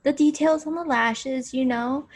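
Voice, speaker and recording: A woman in her early twenties from Hawaii, co-hosting a podcast in a relaxed, chatty way and laughing often. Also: high voice, a little slow. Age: 20s. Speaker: podcast co-host. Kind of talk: casual chat.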